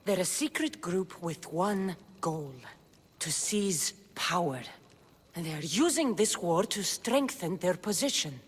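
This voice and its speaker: A woman's voice: lowish voice, lively intonation, measured pace, husky. An actress playing a resolute, guarded young Greek warrior woman in a video game, speaking in a firm, earnest way. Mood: grave, firm. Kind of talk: dramatic video game acting.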